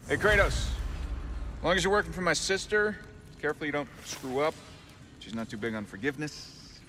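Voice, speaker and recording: Bright, easygoing rebel leader. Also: male voice, a little high, fairly quick, soft background music. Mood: wry. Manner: breezy, self-deprecating. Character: easygoing, friendly, wry male rebel god. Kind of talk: video game character acting.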